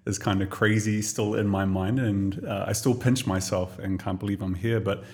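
A man's voice: fairly low pitch, steady tone, moderate pace, darkish tone. A man, telling his own story in a reflective, chatty way on a podcast. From New Zealand. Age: 40s. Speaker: podcast co-host and guest. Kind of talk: casual personal storytelling.

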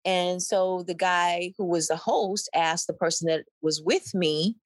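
A woman from Mississippi, an actor and guest, telling stories in an animated, wry way and laughing her way through them. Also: fairly low pitch, a little slow, no room sound, clean studio sound. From Mississippi, USA. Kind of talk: storytelling in an interview.

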